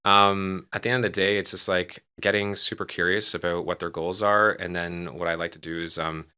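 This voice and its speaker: A man in his forties with a low but bright, forceful voice. He talks quickly in an informal, conversational interview style, often repeating phrases for emphasis. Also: fairly even intonation, smooth. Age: 40s. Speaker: podcast guest, real estate team leader.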